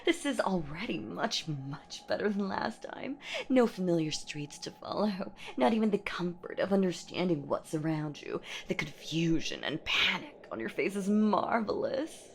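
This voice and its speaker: A woman's voice: lowish voice, lively intonation, moderate pace, creaky, slight background noise. A woman voicing a menacing, playful centuries-old boogeywoman in a horror audio roleplay, with a theatrical, teasing manner that often slips toward a whisper. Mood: gleeful, taunting. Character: menacing, playful centuries-old female boogeywoman monster hunting her prey.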